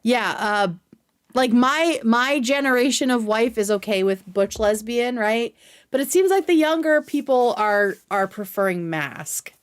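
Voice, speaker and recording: A woman hosting a livestream, giving casual, conversational reaction commentary with animated emphasis. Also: on the high side, measured pace, clear, resonant tone.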